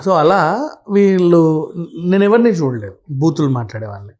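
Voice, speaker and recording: A man's voice: fairly high pitch, animated, moderate pace, darkish tone. Blunt man explaining things conversationally. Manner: blunt, repeatedly checks the listener understands. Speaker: commentator guest. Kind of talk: animated conversational explanation with acted quotes.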